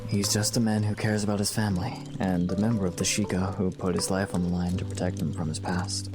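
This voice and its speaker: Guy doing a calm protective young knight, low soft voice, fantasy audio drama w music behind. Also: brisk, lightly acted. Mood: sincere, reserved. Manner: soft, reassuring.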